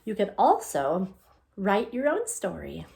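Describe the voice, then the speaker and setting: A woman teacher reading a picture book aloud to young children, encouraging and deliberate, putting questions straight to her listeners. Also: mid-range voice, very animated, gravelly, darkish tone. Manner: encouraging, asks questions and answers them.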